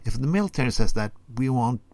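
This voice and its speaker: A man whose first language is Norwegian gives a sarcastic commentary segment in deadpan tones, with halting non-native phrasing. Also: medium pitch, no room sound. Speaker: podcast segment presenter.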